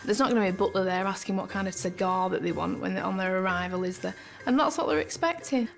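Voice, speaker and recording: A woman's voice: medium pitch, fast talker, creaky, faint music behind. A woman police officer, talking off the cuff for a documentary. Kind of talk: unscripted documentary talk.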